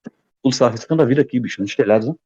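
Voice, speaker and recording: A man's voice: mid-range voice, very fast, mellow, breathy edge, lo-fi sound. A man with a Northeastern Brazilian accent chatting casually and colloquially as a podcast panelist. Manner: colloquial, slangy. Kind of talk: casual chat.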